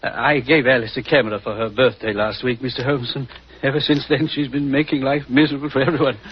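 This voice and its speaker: A man's voice: mid-range voice, steady tone, quick pace, natural voice. A man playing a modest, anxious country rector, formal and hesitant, in 1940s radio style. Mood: modest, courteous. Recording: dull, noisy 1940s radio recording.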